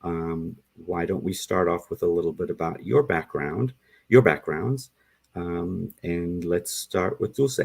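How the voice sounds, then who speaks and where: A man hosting a podcast interview, speaking in a measured way with some fillers. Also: sits low, vocal fry, slightly rough. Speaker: podcast host.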